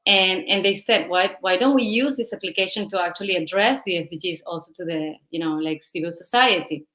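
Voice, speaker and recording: A woman's voice: fairly low pitch, brisk, bright, forceful tone. A woman, a company founder answering interview questions in a rambling, enthusiastic way. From Venezuela and Spain. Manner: rambling, enthusiastic, many fillers.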